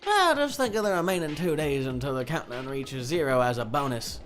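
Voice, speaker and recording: A woman's voice: extremely low pitch, animated, fairly quick. A woman voicing a mocking robot bear villain from a video game, theatrical and taunting, with music behind. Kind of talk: live dubbing of visual novel dialogue.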